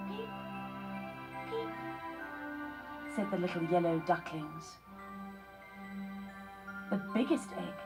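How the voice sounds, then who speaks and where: A woman narrating a children's story from a script in measured phrases with short pauses. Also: fairly low pitch. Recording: music behind the voice. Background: music.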